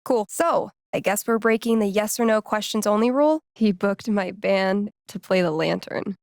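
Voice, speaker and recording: A woman's voice: mid-range voice, moderate pace, no echo, studio quality, natural voice. An actress playing a laid-back, geeky young American woman in a video game, speaking in a casual, wry, playful way. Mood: playful, teasing. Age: young adult. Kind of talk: naturalistic video game character dialogue.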